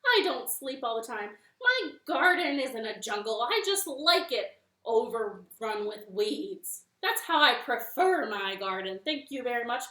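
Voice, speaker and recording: A lazy, indignant trickster spider from a storybook, voiced by a woman. He talks in emphatic, exclaiming bursts with a high, bright tone. There is a roomy living-room echo around him. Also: very animated, measured pace, heavily acted.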